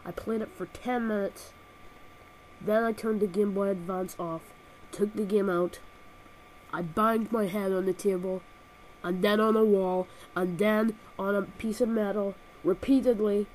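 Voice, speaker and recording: A woman's voice: mid-range voice, fairly even intonation, measured pace, darkish tone, a little hiss or hum, poor audio. A woman with a cold and a nasal voice, reviewing a video game with sarcastic, exaggerated comic rants, recorded on a built-in laptop microphone. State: has a cold.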